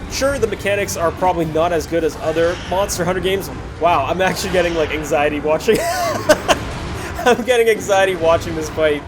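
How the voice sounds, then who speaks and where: Male gaming host, slightly high voice, chatty and reflective casual commentary with hesitant phrasing, music clearly behind. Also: very animated, normal speed. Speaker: host of a gameplay series. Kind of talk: casual post-recorded game commentary. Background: music under the voice.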